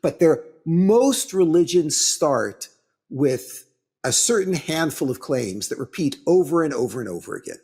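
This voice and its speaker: A man giving a religious lecture as a rabbi, with halting, deliberate phrasing and emphatic pauses. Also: on the high side, sing-song, creaky, darkish tone, husky.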